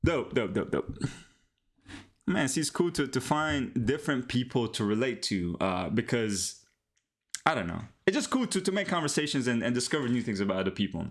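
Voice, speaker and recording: A young Colombian-born man talks loosely into a headset mic while streaming from home. His speech is easygoing and full of slang, with stumbles and restarts, and he slips into Spanish now and then. His pitch sits right in the middle for a man. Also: normal speed. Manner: relaxed, slangy, switches into Spanish, frequent restarts. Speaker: live-streaming app developer. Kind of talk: casual live-stream chat with viewers.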